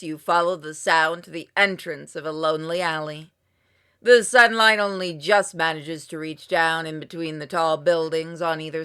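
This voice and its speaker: A woman reading a story game aloud in an expressive narration, acting out exclamations and asides playfully. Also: lowish voice, clean tone. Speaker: gamer reading a visual novel aloud on a playthrough. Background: music with plucked guitar.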